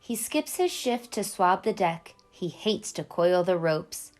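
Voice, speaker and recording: Slow, expressive woman reading to children. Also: mid-range voice, faint music behind. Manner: clear, deliberate delivery addressed to young children. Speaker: children's read-aloud storyteller and host. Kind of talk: expressive picture book narration for children.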